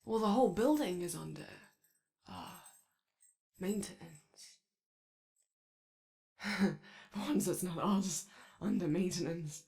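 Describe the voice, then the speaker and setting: Slow, creaky, anxious robot played by a woman. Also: mid-range voice, a little mellow. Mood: hesitant, friendly. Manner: halting, hesitant, rambling, eager to please. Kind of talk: ASMR character roleplay.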